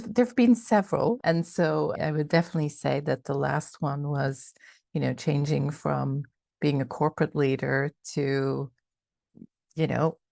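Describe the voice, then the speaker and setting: A woman's voice: sits low, vocal fry, darkish tone, dry recording, studio quality. A middle-aged woman from Belgium, a coach and company founder, speaking reflectively as an interview guest with fillers and restarts. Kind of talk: casual interview talk.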